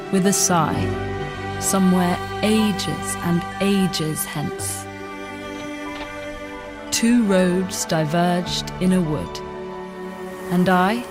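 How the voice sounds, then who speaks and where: Slow, lowish-voiced woman reciting poetry. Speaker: poetry reader. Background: music.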